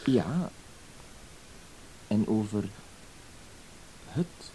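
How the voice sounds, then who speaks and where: A man's voice: fairly low pitch, lively intonation, drawn-out words, warm tone, poor audio. An actor playing a fussy, hesitant, apologetic blackmailer in a radio play, circling around his point with verbal tics. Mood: quietly menacing. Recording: hissy old radio play recording.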